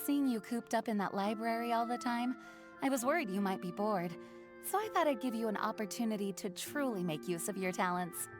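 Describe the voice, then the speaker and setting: An earnest woman knight commander acted by a woman in a video game, speaking formally and sincerely. Her composed voice is a little on the high side, with music playing clearly underneath. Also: animated, fairly quick, natural voice. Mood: grateful. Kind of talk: video game character acting.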